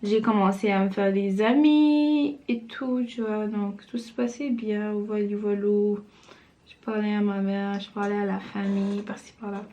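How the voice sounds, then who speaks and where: A young woman talks loosely and casually to her camera while putting on makeup. Her chat is relaxed and rambling, sprinkled with fillers, in a middle-pitched voice. She sounds like she is in a room at home. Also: a little slow, highs cut off. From Senegal. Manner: chatty, loose, full of fillers like "genre". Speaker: vlogger.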